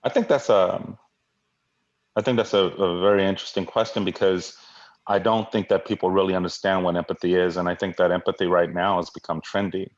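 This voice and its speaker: A man's voice: low voice, level tone, a little fast. A man from Hawaii, a guest in an interview, talking in an earnest, reflective way with many fillers and restarts. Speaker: guest, diversity leader. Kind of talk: conversational interview. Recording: dull lo-fi audio.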